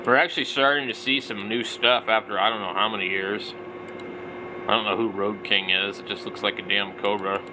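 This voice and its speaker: Low-voiced middle-aged man over background noise. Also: fairly even intonation, fairly quick. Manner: blunt, opinionated, mildly slangy. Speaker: hobby radio vlogger. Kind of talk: casual, unscripted product browsing commentary.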